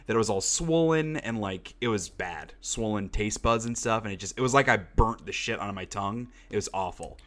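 A man's voice: lowish voice, animated, brisk, few pauses, a bit bright. A young man hosting a casual podcast, congested from a cold and rambling with lots of fillers. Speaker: podcast host. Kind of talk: casual chat.

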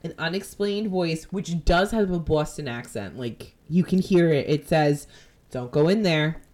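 A woman with a New Jersey accent, hosting a podcast and retelling a ghost-hunting episode in animated, profane casual chat. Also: low voice, a little slow. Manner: animated, profane, jumps into quoted voices. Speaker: podcast host.

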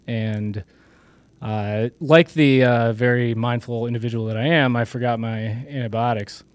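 A man co-hosting a casual podcast, his voice still hoarse, talking in a joking, rambling way with many fillers. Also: mid-range voice, fairly even intonation, normal speed, no echo. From Michigan. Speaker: podcast co-host. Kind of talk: casual political podcast chat.

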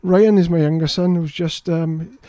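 Mellow man telling stories. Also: fairly high pitch, moderate pace, dry recording, clean studio sound. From South Wales. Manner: relaxed, anecdotal storytelling. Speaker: guest author. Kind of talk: casual interview. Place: podcast studio.

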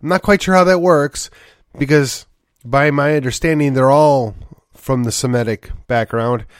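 A man's voice: mid-range voice, moderate pace, smooth, no echo, studio quality. A man hosting a solo political commentary podcast, congested from a sinus infection, rambling and wry with sighs and short laughs. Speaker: podcast host.

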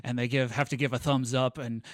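A man's voice: mid-range voice, flat delivery, a little fast, no echo, clean studio sound. An American man co-hosting a podcast in casual chat, easygoing and rambling, often repeating his phrases. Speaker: podcast co-host.

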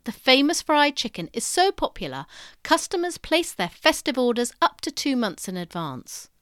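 High, very animated woman narrator. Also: moderate pace, clear, resonant tone, dry recording, studio quality. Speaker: presenter of an educational history podcast. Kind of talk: scripted educational narration.

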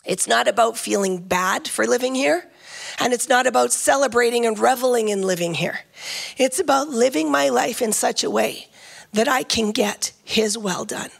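A woman preaches with a high, husky voice that slips into a gravelly fry. She talks earnestly and emphatically and circles back over her phrases as she builds each point. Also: normal speed. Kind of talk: sermon.

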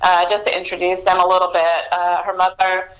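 Chatty woman with a low voice. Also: completely flat delivery, fairly quick, fairly bright tone, low-quality recording. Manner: chatty, many fillers and restarts. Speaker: author guest. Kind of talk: casual interview chat.

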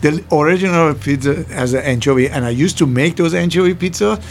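An older man from Iran with a Middle Eastern accent talks in a relaxed, chatty way, blunt and in broken English. His voice has a gravelly edge. A faint hum sits behind the recording. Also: on the high side, a little fast. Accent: Iranian. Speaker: guest, former pizzeria owner. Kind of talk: casual interview chat.

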